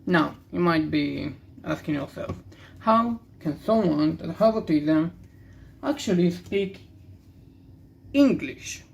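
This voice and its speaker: Casting: young Israeli man, native Hebrew speaker, gravelly fry, unhurried halting delivery, candid rambling hobby-video host. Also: on the high side, lively intonation. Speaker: card-opening video host. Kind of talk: casual rambling commentary while opening cards.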